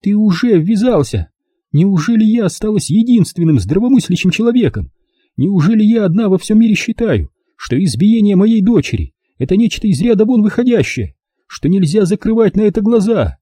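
A male narrator plays a distraught middle-aged mother in an audiobook. The voice is pushed high and swings widely, with a soft dark tone. She is bitter, pleading and insistent, leaning hard on every reproach. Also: big pitch swings, fairly quick, slightly rough, no room sound, clean studio sound, full character voice. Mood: exasperated, angry. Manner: emphatic, reproachful, pressing with rhetorical questions. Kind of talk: audiobook character dialogue.

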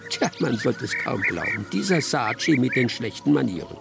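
A gravelly old village hunter. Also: male voice, mid-range voice, sing-song, normal speed, music in the background. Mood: wry. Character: wry old village hunter, male storyteller. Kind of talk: radio drama narration.

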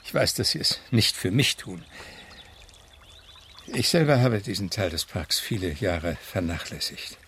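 An actor playing a refined, ailing gentleman in his late fifties, reminiscing in a cultivated, wordy way in a German radio play. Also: deep voice, lively intonation, unhurried, a little hiss or hum, full character voice. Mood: nostalgic. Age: middle-aged.

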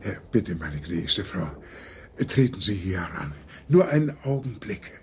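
An actor playing a courteous, effusive gentleman with a formal, gallant manner in a German radio drama. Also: deep voice, big pitch swings, unhurried, a little hiss or hum. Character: courteous, effusive gentleman proudly showing off his view.

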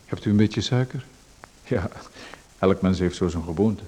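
A man's voice: sits low, moderate pace, warm tone, slightly rough. A man playing a pushy, nosy stranger in a radio play, who makes himself at home and asks for one thing after another. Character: pushy male stranger named Macon, nosy and overbearing.

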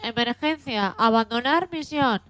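Woman playing flight director, high smooth voice, deliberate announcing, radio play, music behind. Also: a bit bright, dry recording. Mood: official. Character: female mission control flight director counting down launches.

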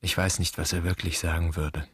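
Casting: embittered son in a radio play, extremely low husky voice, brooding and accusing. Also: male voice, level tone, brisk, fairly bright tone. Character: bitter grieving adult son addressing his dead father. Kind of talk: literary radio drama monologue.

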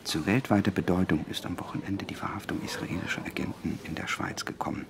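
Brief: a journalist, very deep gravelly voice, hushed reading. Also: male voice, fairly even intonation, quick pace, in a whisper. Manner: low, muttered, reads articles aloud. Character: journalist Johannes Schwarz, dry and worldly. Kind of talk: radio drama acting.

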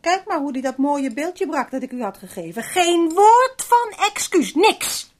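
Een vrouw die in een hoorspel een verontwaardigde, bazige huishoudster uit de volksklasse speelt, mopperend en aandringend. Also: female voice, high-pitched, sing-song, unhurried. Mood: resentful. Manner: scolding, insistent, grumbling. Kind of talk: Dutch radio play acting. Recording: old radio recording with faint noise.

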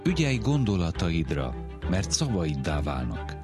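Deep-voiced radio presenter, lively intonation. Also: male voice, over loud music. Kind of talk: scripted radio narration. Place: radio studio.

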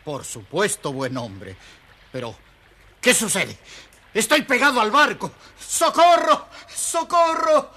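A man's voice: high-pitched, big pitch swings, slow pace, pushed and bright, full character voice. An actor voicing a greedy, pompous king in an audio storybook, theatrical in manner. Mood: alarmed. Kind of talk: audio storybook character acting.

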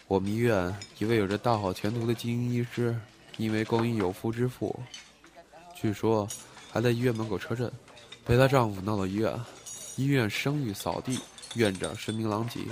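A man playing an aloof, teasing young doctor in an audio drama, speaking in a deadpan, matter-of-fact way. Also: on the low side, natural voice.

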